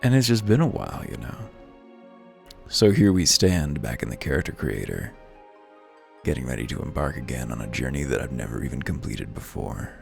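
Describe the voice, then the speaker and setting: Very deep-voiced man, gravelly and wry. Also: big pitch swings, moderate pace, darkish tone. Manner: wry, musing, articulate asides. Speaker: host of a video game playthrough series. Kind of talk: casual game commentary with read-aloud lore. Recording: music playing behind the voice. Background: music.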